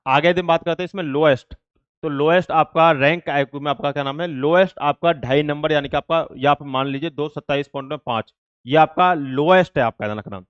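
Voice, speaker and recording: A man with a fairly high, clean and smooth voice presents updates to students. He explains informally and repeats himself as he reads out notices and numbers. The recording is dry and somewhat dull. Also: brisk, no room sound. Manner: repetitive, filler-heavy explaining that addresses students directly. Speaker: exam-update presenter for an online coaching channel. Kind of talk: informal explainer, reading out official notices and figures.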